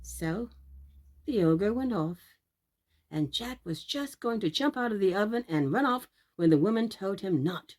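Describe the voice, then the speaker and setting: A woman storyteller reading for children at a slow pace. Her pitch swoops widely, with deliberate, drawn-out emphasis on key words. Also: animated, darkish tone. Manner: deliberate, drawn-out emphasis, addressing young listeners. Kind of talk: expressive read-aloud fairy tale narration.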